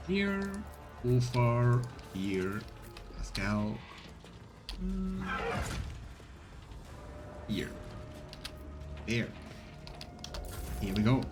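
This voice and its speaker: A man's voice: medium pitch, sing-song, drawn-out words, long pauses. A man doing casual let's play commentary, chatting as he thinks aloud and reads game text. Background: faint game music.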